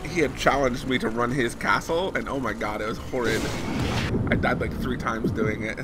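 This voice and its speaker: Hoarse man chatting on a stream. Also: medium pitch, steady tone, normal speed. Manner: chatty, answers viewers' chat as he plays. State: hoarse, losing his voice. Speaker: game streamer. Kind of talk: casual live-stream chat while gaming. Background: loud game music.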